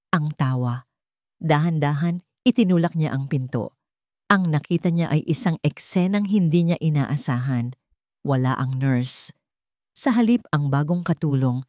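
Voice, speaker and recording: A woman's voice: sits low, dark tone, no room sound, studio quality. A woman narrating a dramatic love story with emotive delivery.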